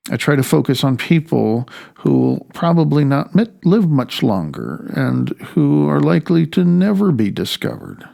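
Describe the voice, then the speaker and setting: A man with a gravelly voice narrates his own nonfiction audiobook, reading a little haltingly with small stumbles and self-corrections. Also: on the high side, sing-song, mellow.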